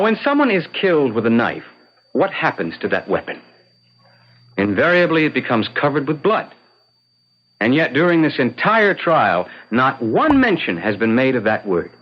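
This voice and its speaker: An actor playing a confident defense attorney in an old-time radio drama, speaking in dramatic, deliberate courtroom oratory. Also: male voice, mid-range voice. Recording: dull vintage radio broadcast recording.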